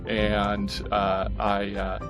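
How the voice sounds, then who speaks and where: A middle-aged American man speaks in a fairly low voice as a podcast guest. He talks casually, feeling his way through meandering sentences. Soft music sits faintly behind him. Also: monotone, drawn-out words. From northeastern California, United States. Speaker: podcast guest, author and pastor. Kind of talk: casual conversational interview.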